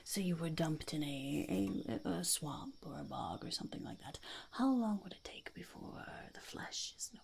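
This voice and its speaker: A woman's voice: deep voice, very animated, a little mellow, full character voice. Girl doing a quirky goblin whispering asmr interview questions, super crackly, mutters and repeats stuff. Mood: inquisitive, scheming. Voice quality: breathy whisper with heavy creak. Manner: halting, muttering, repeats phrases, speaks of self in third person. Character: quirky, scheming goblin interviewer seeking a sidekick.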